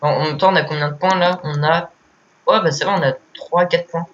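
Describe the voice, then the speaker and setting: A young man who has a cold, joking around excitedly while playing an online game and stumbling over his words. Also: fairly high pitch, a little fast. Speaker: gamer and channel host. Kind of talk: casual gaming chat. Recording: slightly muffled home mic with faint hiss.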